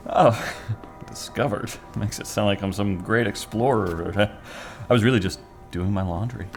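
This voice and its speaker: An actor playing a modest, entranced ordinary man in an audio drama, halting and trailing off in hushed wonder. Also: on the low side, soft background music. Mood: bashful. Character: awestruck ordinary male tenant, modest, entranced. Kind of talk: scripted podcast drama acting.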